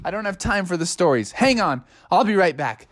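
A man's voice: sits high, animated, brisk, pitched above the performer's own voice. A man voicing an anxious, indecisive young frog in a children's story, whiny and easily spooked. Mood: frustrated envy.

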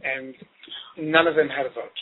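A man's voice: medium pitch, normal speed, noisy background. Hesitant man over a tinny line. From Zimbabwe. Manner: hesitant, many ums and uhs. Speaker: interview guest. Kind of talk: casual interview talk. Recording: tinny phone-line sound.

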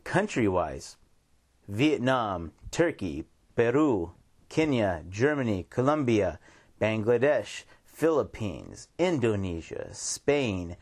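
A man's voice: fairly low pitch, very animated, slow pace, a little mellow. An American man hosting a radio show, talking informally in a rambling, repetitive monologue. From United States. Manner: rambling, informal, repeats himself. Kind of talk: casual radio monologue with shout-outs.

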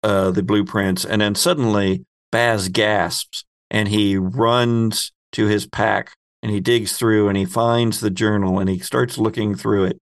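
Slow talking guy as game master, deep-ish creaky voice, describes scenes carefully. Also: fairly even intonation, no echo. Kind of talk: narrating a tabletop role-playing game.

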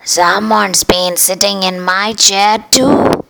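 A woman voicing a puzzled mother bear who speaks in a slow, measured way. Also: medium pitch, gravelly, fairly bright tone, rough recording.

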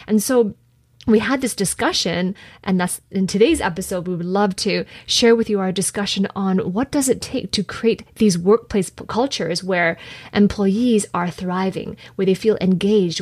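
A woman podcast host with a husky, breathy voice who talks enthusiastically and effusively. Her voice sounds dry and close to the mic, with no room echo. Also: medium pitch, normal speed, a little mellow. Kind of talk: conversational interview.

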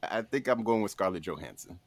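A man talking casually and comedically as a podcast guest, pitching a list with an emphatic, playful showman delivery. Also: expressive, fairly quick, no room sound.

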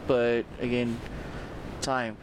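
Male podcast host, rambling and profane, middle pitch, with steady background noise. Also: extremely slow. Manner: rambling, swears freely, lots of fillers. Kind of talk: casual podcast chat.

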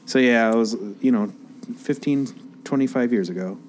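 A man's voice: mid-range voice, moderate pace. Wisecracking man in his thirties, faint noise. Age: 30s. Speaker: podcast co-host. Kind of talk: casual chat about comic books. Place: basement.

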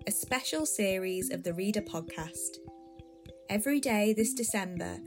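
A woman reading a scripted podcast announcement as its announcer. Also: mid-range voice. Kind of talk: scripted podcast intro and promo. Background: plucked guitar music.

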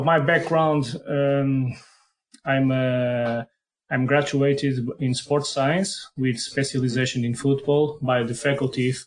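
Portuguese-accented man, flat measured delivery. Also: medium pitch. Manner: hesitant, many fillers and repeated words. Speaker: head of football performance analysis. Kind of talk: podcast interview answers.

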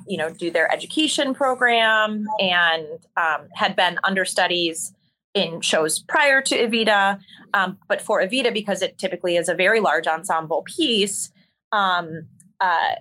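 Bright-voiced woman telling candid stories. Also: on the low side, poor audio. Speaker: interview guest, musical theater performer. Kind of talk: candid conversational storytelling.

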